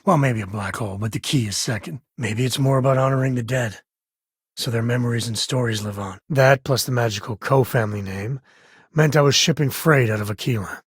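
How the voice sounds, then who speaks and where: An actor voicing a roguish, battle-worn spacefaring frontier ranger in a video game, plain-spoken and folksy with a husky voice. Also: male voice, mid-range voice, brisk, no echo, clean studio sound, close to an everyday voice. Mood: wry, easygoing. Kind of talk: scripted video game voice lines.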